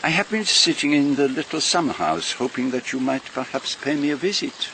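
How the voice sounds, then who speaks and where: An actor playing a shrewd, vain and courteous foreign detective in a radio mystery, speaking formally and deliberately with pointed questions. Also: male voice, mid-range voice, animated, bright, forceful tone, slight background noise, rough recording. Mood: gallant, wry. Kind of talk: British radio mystery drama acting.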